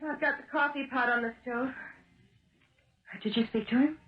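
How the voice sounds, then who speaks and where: A woman's voice: on the high side, fairly even intonation, moderate pace, muffled recording, natural voice. Woman acting an earnest wife, echoey old radio. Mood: anxious. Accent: American. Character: earnest, persistent detective's wife. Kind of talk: 1970s radio drama acting.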